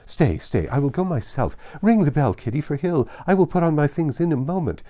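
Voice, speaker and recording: A man plays a giddy middle-aged mother from a classic storybook. The pitch is lifted high and swings widely as she gushes and exclaims. She sounds silly, fluttery and overexcited. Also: very animated, brisk, dark tone. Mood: overjoyed, fluttering. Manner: fluttery, exclamatory, breathless rush of delight.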